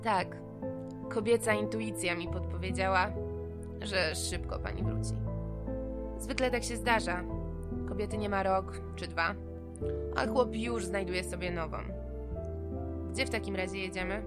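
Actress doing chatty lady cabbie, knowing and sympathetic, radio drama, music loud behind her. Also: medium pitch, a little slow, long pauses, natural voice. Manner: familiar, knowing. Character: chatty, worldly-wise, sympathetic female taxi driver. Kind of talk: audio drama acting.

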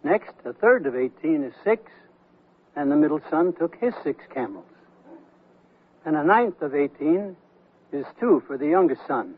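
Husky, feisty old retiree. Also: male voice, on the high side, expressive. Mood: wry, explaining. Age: older. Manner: deliberate, dry, storytelling. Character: shrewd, feisty retired small investor, an old man. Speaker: radio actor. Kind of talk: 1970s radio comedy acting. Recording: thin narrow-band 1979 radio recording.

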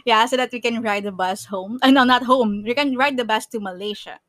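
A woman's voice: on the high side, a little fast, fairly bright tone, no echo, studio quality. A woman from the Philippines with a Filipino accent, co-hosting a podcast in casual chat, laughing often and restarting her sentences. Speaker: podcast co-host.

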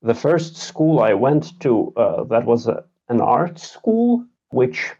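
A man in his thirties from Latvia, a game designer talking about himself in a casual podcast interview, halting and self-deprecating. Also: medium pitch, very animated, dark tone, breathy edge, lo-fi sound. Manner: halting, many filler sounds, self-deprecating. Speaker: game designer and programmer, guest.

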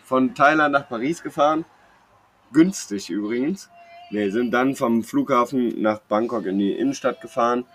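A man's voice: mid-range voice, normal speed, faint music behind. A young man chats casually as a podcast co-host, telling personal anecdotes in a slangy, rambling, jokey way. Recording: clear background noise.

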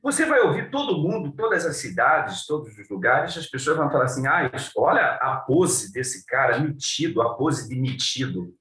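A man from Cuiabá speaks with that region's accent, his pitch swinging widely as he talks. He stumbles, restarts and fills pauses while answering questions. His voice comes from a distance across an echoey room. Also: very animated, bright, forceful tone. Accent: Cuiabá Portuguese. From Cuiabá, Brazil. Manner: hesitant, many restarts and fillers. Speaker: prosecutor interviewee. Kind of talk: interview answers.

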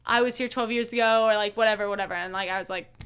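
Hoarse young woman telling a story. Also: mid-range voice, fairly even intonation, fast talker, a bit bright. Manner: chatty storytelling, many fillers. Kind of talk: casual chat.

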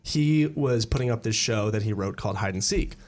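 A young man from Pennsylvania, a musical theatre student, chatting as a guest on a radio interview and telling stories in an animated way. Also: on the low side. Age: young adult. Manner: animated storyteller, quick with restarts. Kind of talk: casual radio interview chat.

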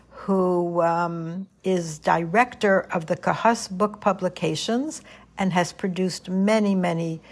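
Older woman, podcast host, soothing, motherly, earnest, gravelly, husky. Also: lowish voice. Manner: gentle, earnest, motherly address to listeners. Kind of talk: reflective monologue podcast.

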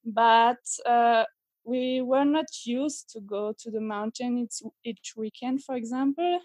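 A woman from Switzerland, a guest in an interview, speaking haltingly with many fillers. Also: on the high side, a little mellow, no room sound. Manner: hesitant, many fillers, laughs as she talks. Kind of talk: casual interview.

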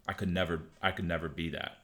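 A man who coaches shooting, talking casually on a podcast in a halting, laid-back way full of restarts and fillers. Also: sits low, fairly even intonation, fairly bright tone.

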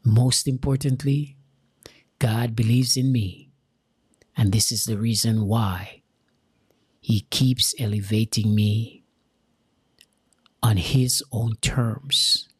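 A Jamaican-accented man from Jamaica giving an earnest, deliberate motivational talk straight to his viewers, repeating key lines for emphasis. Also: fairly low pitch, fairly even intonation, breathy edge, dry recording, clean studio sound. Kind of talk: motivational direct-to-camera talk.

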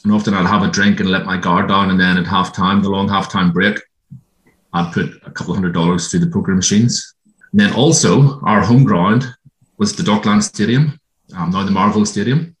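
An Irish man, a guest being interviewed, who tells his own story in a reflective way and pauses often with ums. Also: sits low, fairly even intonation. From Ireland. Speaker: guest, former gambling addict. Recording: roomy echo.

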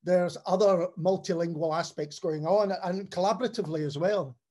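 A man's voice: high-pitched, moderate pace, a little mellow. A man interviewing a poet, asking questions in a hesitant, searching way. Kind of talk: interview conversation.

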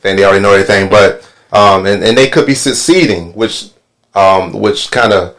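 A man with a very low voice leads a laid-back podcast discussion. He talks loosely, dropping frequent ums and repeating himself as he goes. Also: fairly quick. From Northern Virginia. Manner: relaxed, filler-heavy with many ums. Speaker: podcast host and barber. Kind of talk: casual panel podcast talk.

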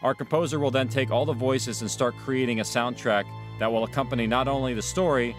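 A man's voice: mid-range voice, fairly even intonation, a little fast. Man explaining, faint music underneath. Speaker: audio drama sound producer. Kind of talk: explanatory documentary interview.

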